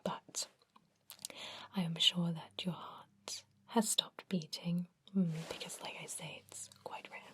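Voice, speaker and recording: A woman voicing a gentle, reassuring centuries-old vampire doctor in a soothing, breathy whisper, in an ASMR roleplay. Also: lowish voice, fairly even intonation. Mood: calm, curious. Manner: soothing, unhurried, attentive bedside explanations. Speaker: doctor examining a patient.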